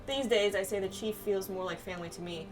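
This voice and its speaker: A woman voicing a wistful, gentle young clanswoman from a fantasy game, reading the dialogue aloud a little haltingly. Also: mid-range voice, recorded from a distance, music in the background, natural voice, poor audio.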